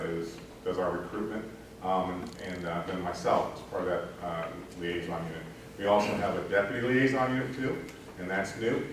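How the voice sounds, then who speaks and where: A man who works as a community liaison officer, speaking haltingly with many fillers at a community meeting. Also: deep voice. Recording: echoey room, distant mic, noisy.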